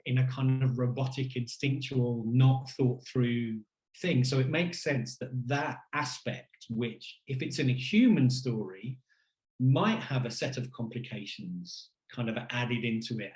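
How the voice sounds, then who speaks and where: A man's voice: mid-range voice, darkish tone. A man answering questions as an academic keynote speaker, conversational and discursive, circling and correcting himself as he goes. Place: indoor room on a virtual conference call. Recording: roomy, echoey video call sound.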